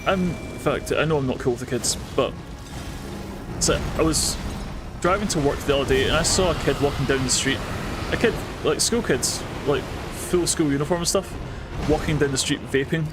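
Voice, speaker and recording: A man's voice: on the high side, normal speed. A man with a Scottish accent, streaming a game and chatting casually with his viewers, swearing now and then. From Scotland. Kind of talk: casual commentary while gaming. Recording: game music behind voice.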